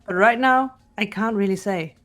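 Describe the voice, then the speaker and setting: A woman voicing a composed, analytical teenage girl detective in a fan-voiced mystery game, speaking in a deliberate, precise way. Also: mid-range voice, fairly bright tone, soft background music, close to an everyday voice. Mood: calm, analytical. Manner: deliberate, precise, lecturing delivery. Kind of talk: fan voice-over of a mystery visual novel.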